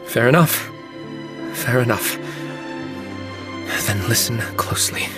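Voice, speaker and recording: An actor playing a bitter, cunning novelist turned killer in a video game, with measured, wry, theatrical delivery. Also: male voice, medium pitch, animated, over music. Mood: sly, composed. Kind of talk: dramatic scripted game dialogue.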